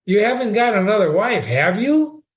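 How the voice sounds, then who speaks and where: A man's voice: big pitch swings, moderate pace. A man voicing a tearful, indignant young woman, a Scottish nurse who scolds and sobs. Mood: scolding. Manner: shrill, scolding, sobbing.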